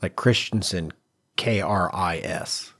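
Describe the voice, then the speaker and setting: A man with a husky, somewhat low voice, co-hosting a podcast. He chats casually, reacting and raising questions as he goes. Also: slow, fairly bright tone. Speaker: podcast co-host. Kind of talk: casual conversation.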